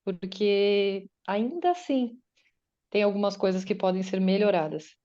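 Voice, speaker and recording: Woman, guest speaker at an online faith meeting: chatty, voices her listeners' doubts, mellow tone, dull call sound. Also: medium pitch, unhurried. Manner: conversational, acts out listeners' doubts in quotes. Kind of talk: faith-based motivational talk. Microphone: video call.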